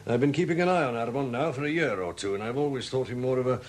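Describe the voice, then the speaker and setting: An actor playing a genial, bluff older chief constable in a 1970s radio drama, hearty, with hesitant little interjections. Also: male voice, mid-range voice, fast talker, lightly acted. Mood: bluff, inquiring. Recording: hissy old 1970s radio broadcast recording.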